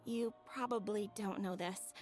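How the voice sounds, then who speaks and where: A woman's voice: mid-range voice, fairly even intonation, measured pace, darkish tone, faint music behind, close to an everyday voice. A woman playing a polite, earnest young bride-to-be in an anime video game, hesitant and easily flustered.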